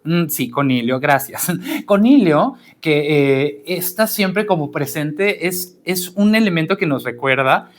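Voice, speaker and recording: A man, a professional storyteller chatting as a guest, animated and musing. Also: on the high side, slight background noise. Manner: animated, musing, trails off and restarts. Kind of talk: casual interview chat.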